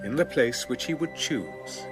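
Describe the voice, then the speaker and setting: A man's voice: mid-range voice, animated, a little slow, over loud music. A man narrating a dramatized scripture reading as a scripted storyteller.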